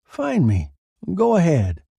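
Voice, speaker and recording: A man's voice: fairly high pitch, wildly swooping pitch, slow, gravelly, mellow, breathy edge, no echo, studio quality, pitched above the performer's own voice, heavily acted. A man voicing a defiant, candid young wife in a dramatised crime story, bold and unrepentant. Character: defiant young wife calmly confessing to stabbing. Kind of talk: dramatised short story reading.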